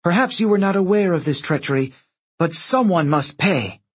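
Man voicing a stern young female commander, raised pitch. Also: animated, normal speed, slightly rough. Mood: furious, urgent. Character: stern, proud young woman commander of Roman demigods. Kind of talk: audiobook character dialogue.

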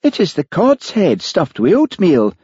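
A man's voice: mid-range voice, big pitch swings, moderate pace, warm tone, slightly rough, dry recording, lo-fi sound, pitched above the performer's own voice. A man voicing an earnest, naive young man in an audiobook story, emphatic and easily flustered. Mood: proud, eager.

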